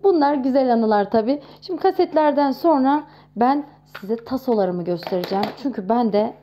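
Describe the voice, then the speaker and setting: A woman in her thirties talking casually to camera in a chatty, expressive way, showing off nostalgic things. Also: a little high, normal speed, slight background noise. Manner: chatty and expressive, with frequent exclamations. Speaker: vlogger.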